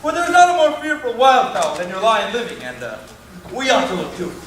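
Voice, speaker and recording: A distant, echoing stage voice plays a timid, worried craftsman a man acting. It is high and animated, anxious and emphatic in Shakespearean comic style. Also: fairly quick. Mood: alarmed. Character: fretful, fearful amateur player. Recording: echoey room, distant mic, faint noise.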